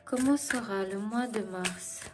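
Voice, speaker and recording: Slow-speaking Ukrainian woman. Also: mid-range voice, background noise. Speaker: tarot reader and channel host. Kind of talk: tarot reading addressed to viewers.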